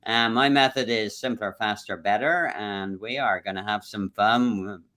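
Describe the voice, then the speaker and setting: A man hosts a casual livestream over a video call. His voice is bright and forward, and he jokes and chuckles as he drifts between thoughts. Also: on the low side, fairly even intonation, measured pace. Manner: jokey, chuckles often, rambling. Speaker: livestream host.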